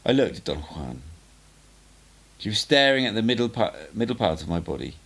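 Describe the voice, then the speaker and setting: A man narrates a book aloud in a low voice with a gravelly creak. His intonation moves around widely, and he reads in a measured, emphatic way, like an amateur audiobook reader telling a story. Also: very animated, fairly bright tone.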